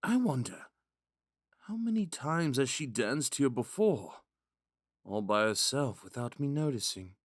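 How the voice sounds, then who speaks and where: A man playing a gentle, smitten young prince in a romantic audio roleplay, musing and hesitant in a courteous way. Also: medium pitch, sing-song, measured pace, no echo, clean studio sound. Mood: wistful musing. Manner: musing, hesitant, courteous, with sighs and whispered asides.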